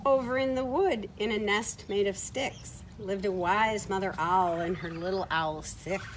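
A middle-aged woman reading a picture book aloud to children in an expressive way, recorded outdoors. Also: medium pitch, a little slow. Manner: expressive, acting out short quoted words. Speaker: children's story time reader. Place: outdoors on a farm, beside horses. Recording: outdoor ambient noise from camera mic.

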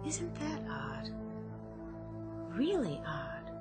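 An actress playing a troubled, bewildered female customer in a radio drama, speaking haltingly as if unsure of her own mind. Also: high-pitched, very animated, loud music behind the voice, heavily acted. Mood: uneasy, searching.

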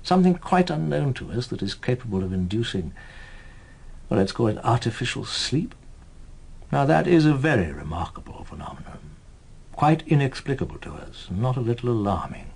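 A persuasive British intelligence officer in a radio play. His voice is gravelly and a little rough, of middling pitch. He talks formally and deliberately, with lively rises and falls and a quietly ominous edge. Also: exaggerated voice. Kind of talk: British radio drama acting.

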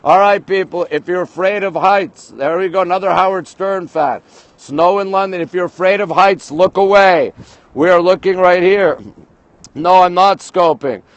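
A man from Montreal, Canada hosting a casual livestream from a high-rise balcony at night, greeting viewers by place and reading comments aloud. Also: normal speed, no room sound, lo-fi sound. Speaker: livestream host. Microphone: phone camera held by speaker.

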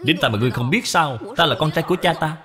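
A man's voice: on the high side, fast talker, pushed and bright. A man voicing a pampered, selfish young boy in a children's cartoon, blunt and demanding. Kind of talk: dubbed children's moral cartoon. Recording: long hall reverb, music behind.

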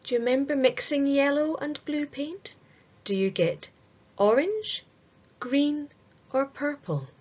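A middle-aged woman reading a children's multiple-choice quiz aloud in a deliberate, sing-song way with pauses. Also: sits high, lively intonation, a little mellow, muffled recording. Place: small room indoors.

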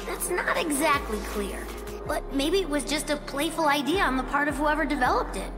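A woman's voice: high voice, lively intonation, fairly quick, music in the background. A woman voicing an earnest, brave young boy fox inventor in a video game, explaining things with determination. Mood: curious, explaining.

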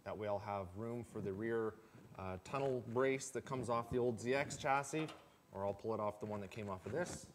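A man's voice: lowish voice, moderate pace. A man in his forties giving a casual, matter-of-fact how-to talk with folksy, plain-spoken phrasing. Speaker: host of a snowmobile build series. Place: indoor garage workshop full of tools. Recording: clearly noisy workshop recording with clicks.

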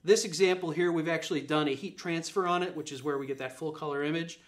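A man's voice: fairly high pitch, quick pace. A man, giving an upbeat live product demo in an office room, with many fillers and repeated points. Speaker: product presenter. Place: office room with a display table.

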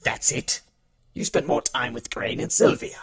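A man playing a hot-tempered male alien suspect in an audio drama, gravelly, indignant and defensive. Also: a little slow, crowd noise, lightly acted. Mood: angry, defensive. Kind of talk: fan audio drama acting.

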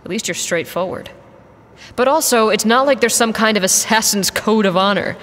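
A woman's voice: mid-range voice, moderate pace, fairly bright tone, in a large hall, lightly acted. A woman playing a guarded, blunt, loyal mercenary pilot in an audio drama, with a dry manner. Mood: exasperated.

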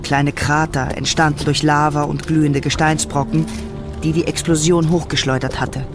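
Even-toned male narrator over music. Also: a little high, normal speed. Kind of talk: scripted radio drama narration. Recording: music behind the voice.

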